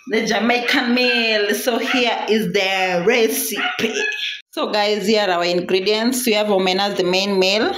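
A woman with a Kenyan accent hosting a casual cooking vlog, chatty, repeating phrases and mixing in Swahili words. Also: mid-range voice. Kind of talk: casual cooking tutorial chat. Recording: echoey room, clear background noise, clicks.

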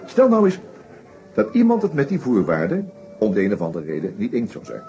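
Lively, deliberate detective, dull recording. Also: male voice, mid-range voice, a little hiss or hum. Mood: explaining, confident. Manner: explanatory, deliberate, with small hesitations and tags. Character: shrewd, methodical male detective inspector summing up a murder case. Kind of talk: Dutch radio play acting. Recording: dull old radio play recording.

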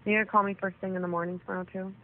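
A woman's voice: medium pitch, little pitch movement, very fast. Woman on a tinny, slightly noisy telephone line, casual and sweary private chat. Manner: frequent swearing. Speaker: caller on a jail phone call. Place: phone call.

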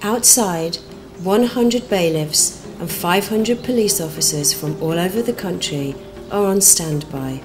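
A woman's voice: lowish voice, measured pace. Woman narrating over music. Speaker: documentary narrator. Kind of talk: scripted narration. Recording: music behind the voice.

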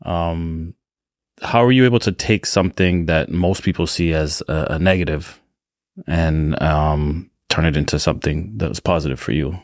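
A man's voice: extremely low pitch, normal speed, no echo, clean studio sound. A man hosting a podcast, asking easygoing, encouraging questions. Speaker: podcast host. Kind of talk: casual interview chat.

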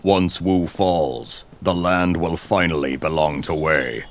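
A grave male retainer in an English game dub. His voice is very deep and slow, with faint noise behind it. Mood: solemn.

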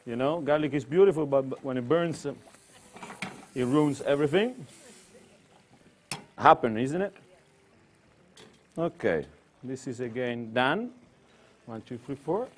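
A man's voice: mid-range voice, lively intonation, unhurried, pauses often. A man from Tuscany with an Italian accent, whose first language is Italian, chatting through a cooking demonstration in broken English with Italian interjections. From Tuscany, Italy. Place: kitchen demonstration set.

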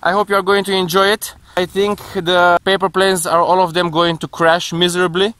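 A man's voice: high voice, level tone, a little fast, pushed and bright. A man in his twenties talking casually and playfully to viewers in an outdoor vlog, with informal, slightly hesitant phrasing. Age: 20s. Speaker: vlogger, paraglider pilot. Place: outdoors in hills and woods, and in flight. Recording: windy outdoor action-camera audio.

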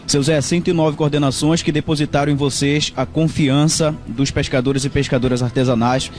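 A man's voice: on the high side, steady tone, a little fast, darkish tone, soft background music. A man reporting and putting interview questions as a TV news reporter.

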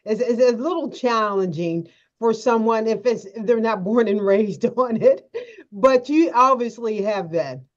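A woman hosting a TV interview in a studio, chatty and quick to laugh. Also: fairly high pitch, lo-fi sound. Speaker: TV show host.

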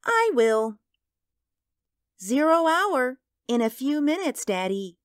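A woman's voice: high-pitched, very animated, slow pace, no room sound, studio quality, heavily acted. A woman voicing a busy, eager little girl in a radio drama.

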